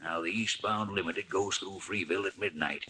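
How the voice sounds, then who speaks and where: An actor playing a coldly threatening small-town thug, speaking in curt, rough colloquial phrases in 1940s radio style. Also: male voice, low voice, normal speed, pushed and bright. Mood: cold menace. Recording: echoey old radio recording, faint noise.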